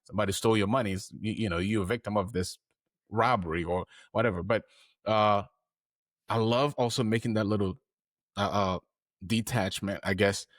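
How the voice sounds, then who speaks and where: A man's voice: fairly low pitch, fairly even intonation, normal speed, dry recording, clean studio sound. A man hosting a heartfelt interview podcast, talking earnestly and rambling with fillers. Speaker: podcast host.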